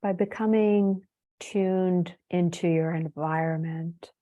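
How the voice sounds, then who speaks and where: Woman, meditation teacher, lowish voice, very even flat melody, soothing, unhurried, long pauses. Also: phone-quality sound. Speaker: yoga nidra and meditation teacher. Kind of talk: guided meditation.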